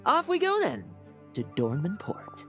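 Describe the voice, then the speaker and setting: A woman playing a sly, theatrical young female knight, a smooth-talking schemer in a video game. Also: mid-range voice, huge pitch swings, a little slow, loud music behind the voice. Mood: grandiose, sly. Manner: theatrical, coaxing.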